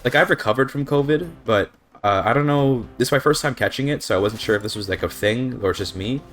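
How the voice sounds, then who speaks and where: A man's voice: mid-range voice, fast talker, fairly bright tone. A young man with a slightly scratchy throat, casually explaining his strategy while playing a game, thinking aloud with fillers. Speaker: speedrunner commentating gameplay. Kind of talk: casual gameplay commentary. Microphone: desk microphone.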